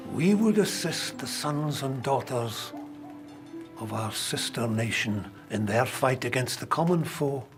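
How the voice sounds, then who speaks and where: An actor playing a determined medieval king, reading in a grave, measured, declaiming way for a documentary. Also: on the high side, fairly bright tone, exaggerated voice. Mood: yearning. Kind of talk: dramatic reading of a historical letter.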